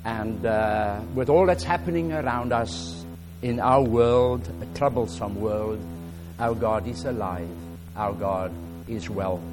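A man with a South African accent, whose first language is Afrikaans, preaches at an unhurried pace with many pauses and fillers. His voice sits at a middle pitch, and soft instrumental music plays faintly behind him. When he reads scripture he grows louder and more deliberate. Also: poor audio. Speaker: guest preacher. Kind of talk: sermon with scripture reading.

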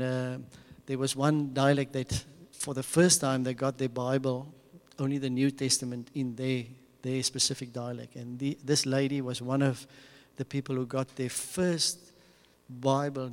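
An Afrikaans-accented man talks earnestly through a slide presentation for a church audience. He often restarts and repeats his words, chuckling between thoughts. His voice rings with a long reverb from a large hall. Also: mid-range voice. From South Africa. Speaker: mission speaker.

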